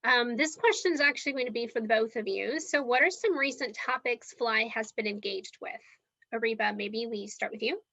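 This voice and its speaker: A woman's voice: a little high, fairly quick, pushed and bright, dry recording, dull audio. A young woman from Los Angeles, moderating a casual online student panel in a friendly, upbeat way.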